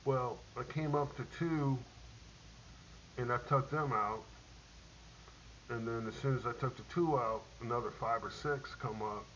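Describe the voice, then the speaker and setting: Middle-aged man, veteran telling a war story, slow and halting, full of ums, dull lo-fi sound, faint hiss. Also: medium pitch, a bit bright. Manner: halting, many ums and restarts. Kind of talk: rambling personal war story.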